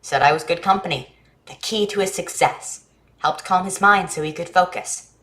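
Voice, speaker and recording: A woman's voice: on the low side, normal speed, fairly bright tone, natural voice. A woman playing an earnest, literal-minded schoolchild in a staged play, speaking plainly and inquisitively. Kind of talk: staged reading of a science fiction play.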